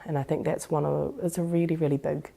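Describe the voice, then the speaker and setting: A woman's voice: low-pitched, flat delivery, fast talker, creaky, dark tone. A woman explaining her field in a reflective interview, choosing her phrasing carefully and hesitantly. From Singapore-born, living in Auckland, New Zealand. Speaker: expert on supporting sexual violence survivors.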